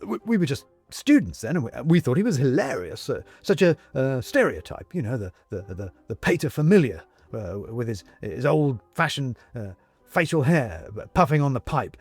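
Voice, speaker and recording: A man playing a flustered, self-justifying middle-class man in a storybook, stammering and haltingly repeating his words. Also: mid-range voice, big pitch swings, a little mellow, slightly rough, soft background music. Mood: flustered recollection.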